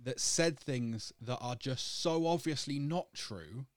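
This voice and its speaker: A man's voice: mid-range voice, slow, no echo, studio quality. A young man co-hosting a podcast in casual chat, joking and laughing often as he talks things through. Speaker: podcast co-host.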